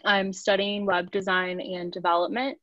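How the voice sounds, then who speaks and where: A woman student, raised near Detroit, introducing herself briskly and composed. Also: medium pitch, level tone, fairly bright tone, no echo. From Detroit suburbs, USA. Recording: muffled dry video call audio.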